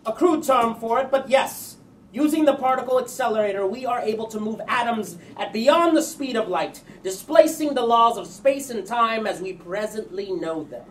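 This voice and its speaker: A man's voice: very high voice, expressive, normal speed, a bit bright. Pompous professor played by a man, slightly distant. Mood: grandly persuasive. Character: pompous male professor, eager mad inventor. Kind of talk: staged screenplay reading. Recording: distant stage mic, faint noise.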